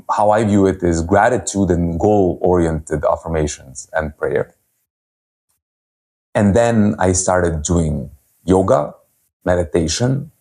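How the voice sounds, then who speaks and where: Man, 30s, holistic health coach, very low voice, unhurried talk. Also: darkish tone. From Serbia. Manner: earnest, unhurried, with frequent pauses and fillers. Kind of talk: personal story talk at a virtual event.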